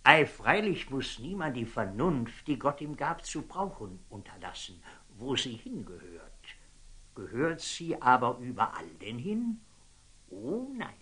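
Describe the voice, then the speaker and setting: Portly churchman, pompous and patronising, swooping intonation, unhurried, classical radio play. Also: mid-range voice, big pitch swings, bright, forceful tone, breathy edge, full character voice. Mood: condescending, sly. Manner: condescending, unctuous, drawn-out delivery. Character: pompous, portly, unctuous male prelate, the Patriarch. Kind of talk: classical radio drama acting.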